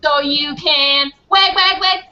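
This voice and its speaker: A woman's voice: sits high, slow pace. A woman playfully and encouragingly leading children through an activity over a video call. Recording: dull, lo-fi video call with noise.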